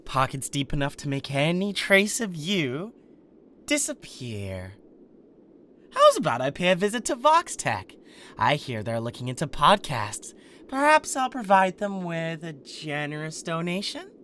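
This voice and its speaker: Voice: medium pitch, very animated, a little slow, a bit bright, slight background noise. A performer playing a cocky, protective male king of Hell in an ASMR roleplay, sarcastic and defiant. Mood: smug sarcasm.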